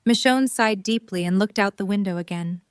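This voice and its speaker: A woman's voice: mid-range voice, brisk, dry recording, studio quality. A woman reading scripted third-person narration for an audio drama. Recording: clear background noise with hum.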